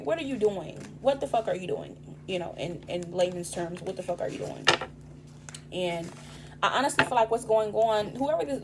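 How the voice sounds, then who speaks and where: A woman giving a casual tarot reading, rambling and profane with streetwise slang, her voice raspy this morning. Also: medium pitch, noisy background. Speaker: tarot reader.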